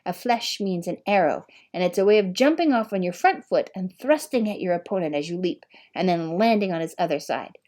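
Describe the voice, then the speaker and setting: A woman's voice: lowish voice, animated, a little fast, few pauses, a little mellow. A woman narrating a storybook expressively as an audiobook reader.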